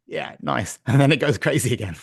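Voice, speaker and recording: Croaky upbeat man interviewing. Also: medium pitch, animated, a little fast, no room sound, clean studio sound. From Montreal-based. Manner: laughs often, chatty and upbeat. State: slightly croaky voice. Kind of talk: casual video-call interview.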